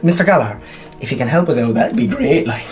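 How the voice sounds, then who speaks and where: A man plays an eager, pushy Irish musician in a radio play. He stammers and wheedles nervously, his pitch swooping up and down in an Irish accent. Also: expressive, fast talker, darkish tone, faint music behind, close to an everyday voice. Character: pushy, nervous male pub-band musician. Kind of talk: radio drama acting.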